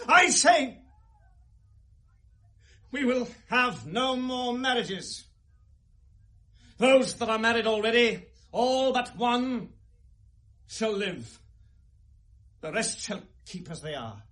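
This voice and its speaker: An actor with a British accent playing a bitter, volatile young prince in classical Shakespearean radio drama, in theatrical, deliberate verse. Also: male voice, very high-pitched, big pitch swings, pushed and bright, exaggerated voice. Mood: raging. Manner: theatrical, deliberate verse delivery swinging to outbursts.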